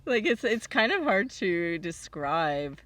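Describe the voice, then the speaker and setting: A woman's voice: on the low side, sing-song, slow pace, fairly bright tone, no echo. A woman who runs a forest farm, chatting casually in an interview with lots of fillers and sometimes dropping to a whisper. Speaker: forest farmer. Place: outdoors on a farm. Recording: distant mic, outdoor noise with passing vehicles.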